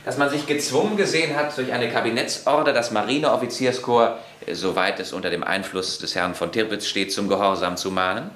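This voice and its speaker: A man's voice: lowish voice, steady tone, fairly quick, hardly any pauses, a bit bright, reverberant, natural voice. A man playing a curt young officer. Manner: curt, assured. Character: young officer son, guarded and firm. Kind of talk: radio play acting.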